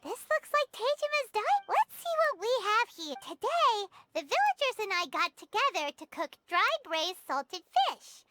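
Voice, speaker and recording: A woman voicing a small, chirpy, childlike fairy companion in a video game, squeaky and eager. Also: very animated, normal speed, hardly any pauses, very noisy. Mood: reading aloud. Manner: bubbly, exclaiming, thinking aloud. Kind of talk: English video game voice acting.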